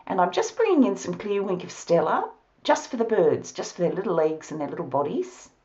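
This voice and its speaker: A woman with an Australian accent, hoarse from a cold, explaining a card-making project step by step with a chatty, laughing manner. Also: on the low side, big pitch swings, fairly quick, darkish tone, dull audio. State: hoarse, losing her voice. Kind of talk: crafting tutorial narration. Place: home craft studio, at a table.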